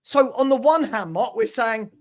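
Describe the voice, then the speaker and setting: A man on a football fan livestream, arguing his point in a brisk, reasoned way and checking in with rhetorical tag questions. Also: very high-pitched, lively intonation. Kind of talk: casual argumentative chat.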